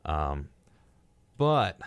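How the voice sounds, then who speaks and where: A man's voice: extremely low pitch, sing-song, drawn-out words, clean tone, no room sound. A man co-hosting a casual podcast discussion, reading out facts in a dry, dismissive way. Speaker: podcast co-host.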